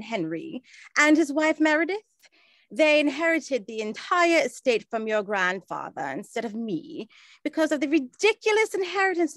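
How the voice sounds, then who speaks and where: A woman's voice: high voice, no room sound. A woman playing an earnest, well-travelled 1920s aunt in a period drama, speaking formally and explaining things. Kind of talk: scripted period radio drama acting.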